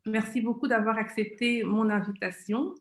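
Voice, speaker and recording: A woman hosting a live interview show, speaking in halting, repetitive phrases. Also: a little high, steady tone. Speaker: webmagazine founder and show host. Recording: roomy, echoing live-stream sound.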